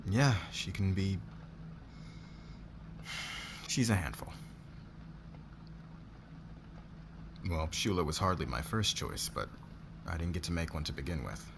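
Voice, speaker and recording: A demanding male boss played by a man in an intimate ASMR roleplay, speaking in a low register over noticeable background noise. He is commanding and possessive, flirting smugly while keeping his guard up. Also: lively intonation, a little fast, vocal fry. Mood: subdued, evasive. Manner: commanding, smug, intimate, swearing.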